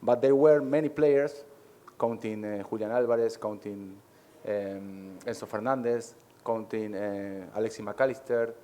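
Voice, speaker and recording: A man from Argentina whose first language is Spanish, a head coach answering press questions in halting English with many pauses. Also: lowish voice, steady tone, dark tone.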